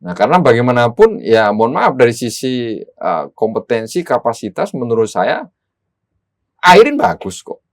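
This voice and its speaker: A man's voice: mid-range voice, sing-song, moderate pace, dry recording, studio quality. A man giving analytical political commentary as a guest, explaining things in formal, academic vocabulary.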